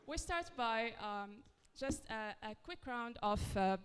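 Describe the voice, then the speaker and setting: Woman moderator, smooth higher voice, chatty and self-mocking, long hall reverb. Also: lively intonation, slow pace, a bit bright. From Morocco. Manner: chatty, self-deprecating, laughing often. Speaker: panel moderator. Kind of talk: conference panel moderation. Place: large conference hall.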